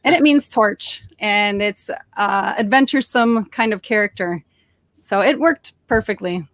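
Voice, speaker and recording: A woman's voice: medium pitch, a little slow, fairly bright tone, no echo. A young adult woman born in Norway and raised near Detroit, chatting cheerfully as a podcast guest, with frequent fillers and restarts. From born in Norway, raised in suburban Detroit, Michigan. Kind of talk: casual interview storytelling.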